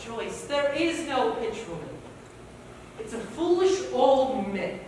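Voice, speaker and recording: A proud, schooled young Native man with a notably high voice speaks earnestly and insistently in a stage play, acted by a young man. He sounds distant in a strongly echoing hall, with faint noise. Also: sing-song, takes it slowly, darkish tone, exaggerated voice. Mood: dismissive. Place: theatre stage.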